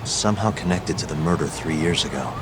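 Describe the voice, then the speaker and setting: A man's voice: a bass voice, fast, background noise. A man voicing a determined, protective young detective in an English video game dub, with a laconic, assured delivery. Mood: earnest, protective. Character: determined, cool-headed young male detective, protective and reckless. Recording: background music under the dialogue.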